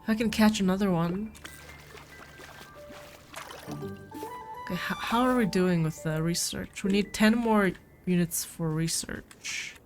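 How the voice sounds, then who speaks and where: A woman's voice: mid-range voice, slow pace, long pauses. A woman with a cold, rambling casually as she plays a farming game and talks to her viewers. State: sick with a cold. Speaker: gameplay streamer. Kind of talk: casual gameplay commentary. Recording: faint game music behind.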